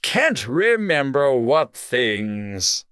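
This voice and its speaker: A man voicing a stern, contemptuous caterpillar in a dramatized audiobook, with curt, haughty, drawn-out phrasing. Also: medium pitch, sing-song, fairly bright tone, smooth, no echo, clean studio sound, exaggerated voice. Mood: probing, stern. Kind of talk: dramatized audiobook character acting.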